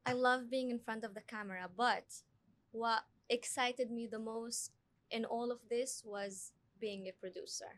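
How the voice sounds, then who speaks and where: A young woman from Lebanon talks as a podcast guest, with a fairly high voice. She chats freely and laughs easily. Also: measured pace. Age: 20s. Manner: lively, chatty, laughs easily. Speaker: guest, actress and film producer. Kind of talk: casual podcast interview.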